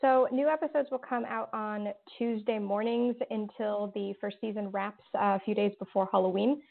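A woman's voice: mid-range voice, steady tone, moderate pace, vocal fry. A woman, a horror writer and interview guest, answering thoughtfully with lots of fillers and restarts. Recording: tinny phone-like line.

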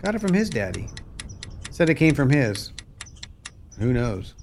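A man's voice: mid-range voice, very animated, unhurried, a little mellow. Man acting as a folksy American farmer, noisy recording. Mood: rueful. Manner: folksy, plainspoken, rueful. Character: bankrupt, unmarried farmer, plainspoken, weary, from a ranching family. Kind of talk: radio play acting.

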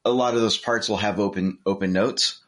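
A man's voice: lowish voice, fairly even intonation, a little fast. A man chatting casually in an easygoing way, acting out bits of dialogue as he tells anecdotes. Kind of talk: casual chat.

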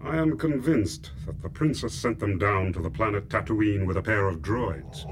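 An actor playing a grim, controlled dark Imperial lord, speaking formally and ominously in a space-opera radio drama. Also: male voice, on the low side, normal speed, close to an everyday voice. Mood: grave, measured. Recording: radio drama over clear background noise.

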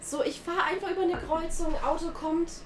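Lively nineteen-year-old woman, echoey room. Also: very high-pitched, fairly quick. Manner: lively, animated storyteller who laughs at herself. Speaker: podcast co-host. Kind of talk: casual chat, storytelling. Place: sitting on a church pew. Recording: echoey room with clear background noise.

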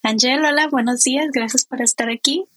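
Husky-voiced woman podcast host. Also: high-pitched, brisk, a bit bright, no room sound. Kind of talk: podcast interview.

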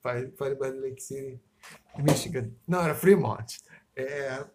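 A man's voice: mid-range voice, a little slow, background noise. A man in casual podcast chat, rambling, swearing freely, trailing off and restarting. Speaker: podcast co-host.

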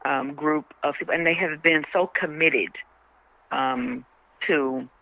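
A woman speaking as a radio interview guest, emphatic and a little halting, often restarting her phrases. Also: sits low, vocal fry. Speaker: stage play cast member and spokesperson. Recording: dull band-limited radio call sound.

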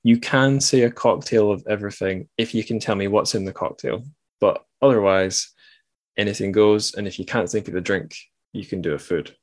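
A man with a low voice hosts a casual interview podcast. He is playful and halting, often repeating a word as he gets going. The sound is close and dry, with hardly any room. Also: no room sound. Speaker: podcast host.

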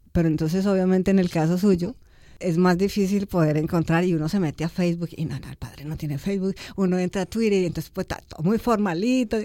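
A woman's voice: lowish voice, warm tone, no echo, studio quality. A woman hosting an interview programme, talking in a chatty, informal way and using diminutives as she puts questions to her guest. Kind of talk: conversational radio-style interviewing.

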